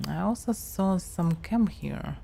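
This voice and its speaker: A woman with a Russian accent, musing softly to herself while giving casual commentary on her game stream. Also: on the low side, gravelly. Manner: soft-spoken, musing to herself.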